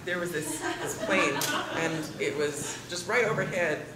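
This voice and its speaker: A man's voice: fairly high pitch, a little slow. A young man rambling through an absurd improvised story, stifling laughs as he goes. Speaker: improv player. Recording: echoey room with loud noise.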